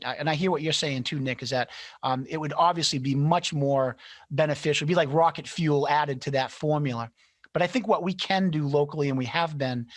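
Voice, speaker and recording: A man with a slightly high voice hosts an online panel. He talks in a conversational, encouraging way, and the sound is fairly dry and close. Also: no room sound. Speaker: panel moderator. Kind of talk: moderating an online panel discussion. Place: video call.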